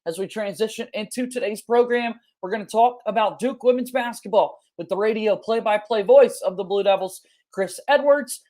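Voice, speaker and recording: A male sports podcast host with a high-pitched voice. He speaks in a polished announcer manner, moving between upbeat introductions and interview questions. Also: a little mellow.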